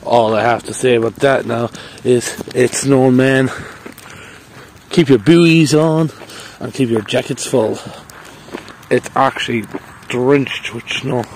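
A man in his thirties rambles cheerfully while on a walk, now and then breaking into playful sing-song bits. He speaks at an everyday mid pitch into a phone held at arm's length outdoors, with faint open-air ambience behind him. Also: slow pace, slight background noise. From Ireland. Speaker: vlogger. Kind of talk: casual walking vlog. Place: outdoor park path in falling snow.

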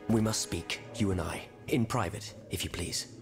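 An actor playing an earnest, grave revolutionary patriot in a video game, speaking formally. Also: male voice, low-pitched, vocal fry, music in the background. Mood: grave, confiding. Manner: formal, deliberate. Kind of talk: video game cutscene dialogue.

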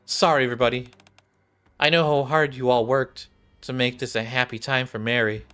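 A man's voice: mid-range voice, fairly even intonation, normal speed, pushed and bright, clear, resonant tone. A young adult man, a game streamer, reading visual novel dialogue aloud. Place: small indoor room. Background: game music.

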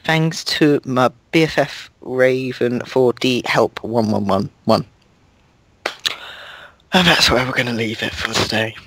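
A young man reads a clumsy story aloud, slow and deadpan, with plain mid-range pitch. His voice is dry and close, picked up by a voice-chat mic. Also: no room sound. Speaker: reader of a fan fiction. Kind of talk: mock-dramatic reading over gameplay.

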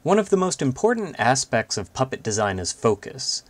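Man narrating instructions, medium pitch. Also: big pitch swings, normal speed. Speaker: puppetry instructor. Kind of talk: scripted narration.

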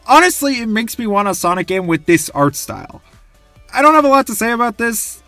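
A man's voice: sits high, brisk, dry recording, soft background music. A young man giving enthusiastic, gushing scripted commentary as a video game reviewer. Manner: gushing, animated.

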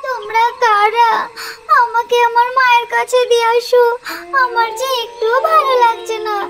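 A woman's voice: level tone, moderate pace, a bit bright, a little hiss or hum. A woman voicing a tiny, homesick baby bird girl in a children's cartoon, childlike and plaintive. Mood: tearful pleading. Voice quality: squeaky, childlike. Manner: plaintive, whimpering.

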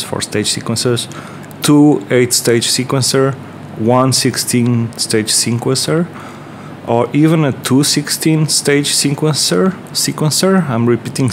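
A man's voice: medium pitch, a little hiss or hum. A man with a Portuguese accent, casually explaining his workbench build, rambling with many fillers and restarts. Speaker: electronics maker. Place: workbench, indoors.

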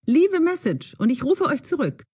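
A breezy teenage girl on her voicemail in an audio drama. Her voice is high and swings up and down in a very animated, chirpy way. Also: moderate pace, no room sound, studio quality. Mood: breezy, cheerful. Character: breezy fifteen-year-old girl on her voicemail greeting.

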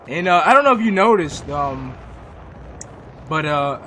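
A young man giving casual, profanity-laced commentary over his own console shooter gameplay, chatty and quick to laugh at himself. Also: on the high side, big pitch swings, sharp tone, a little hiss or hum. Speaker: gaming YouTuber.

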